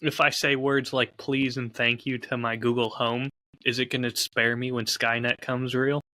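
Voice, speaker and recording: A man's voice: medium pitch, level tone, normal speed, bright, forceful tone, no echo. A man co-hosting a podcast in casual banter, with a dry, self-deprecating manner. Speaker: podcast co-host.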